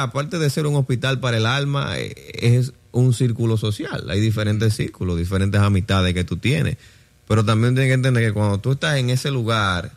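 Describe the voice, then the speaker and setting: A Dominican man with a fairly low voice talks in a casual group discussion. He tells things as stories and throws in rhetorical questions to the others. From Dominican Republic.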